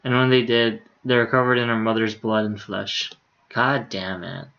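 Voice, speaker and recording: A man's voice: fairly low pitch, little pitch movement, normal speed, vocal fry, a bit bright, lo-fi sound. A man reading a visual novel aloud on a livestream in a casual, unpolished way. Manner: casual, stumbles over words, swears in asides. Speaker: game streamer.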